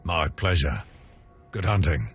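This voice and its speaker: An actor playing a hard-bitten, approving mission contact in a video game cutscene, with a dry manner. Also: male voice, low-pitched, expressive, a little slow, husky, soft background music, heavily acted. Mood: satisfied.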